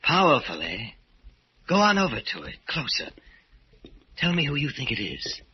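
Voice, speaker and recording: An intense, volatile obsessed sculptor. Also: male voice, fairly high pitch, wildly swooping pitch, moderate pace. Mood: probing, bitter. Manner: theatrical, brooding, volatile. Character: intense, obsessed male sculptor brooding on death. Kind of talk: 1940s radio horror acting. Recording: noisy, muffled old radio recording.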